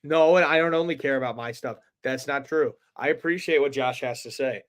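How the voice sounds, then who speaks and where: A man's voice: mid-range voice, a little fast. A young man with a Chicago accent, co-hosting a podcast in casual sports chat, laid-back and swearing freely. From Chicago area. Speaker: podcast co-host.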